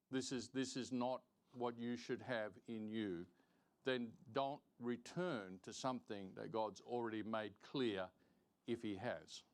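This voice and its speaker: Man preaching, emphatic and deliberate. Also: mid-range voice. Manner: emphatic, deliberate, repeats phrases for stress. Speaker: preacher teaching Sunday school. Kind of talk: sermon, Bible teaching with verse reading. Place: church, live-streamed service.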